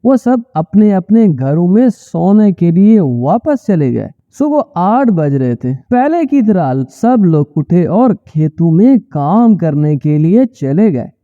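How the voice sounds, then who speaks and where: A man's voice: high-pitched, sing-song, slow, hardly any pauses, no echo, narrow, tinny audio. A man narrating a scripted children's story as the storyteller.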